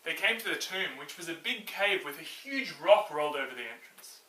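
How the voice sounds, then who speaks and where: A man with a high, very bright voice tells a Bible story as its host. His delivery is theatrical and expressive, recorded in a roomy space with the mic at a distance. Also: normal speed. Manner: theatrical, expressive, acts out dialogue.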